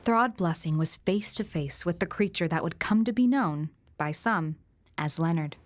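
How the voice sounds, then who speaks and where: Woman playing a low-voiced radio field reporter. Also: moderate pace, no echo, close to an everyday voice. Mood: probing. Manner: composed, careful journalistic delivery. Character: earnest female public radio field reporter. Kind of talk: scripted news narration and interviewing.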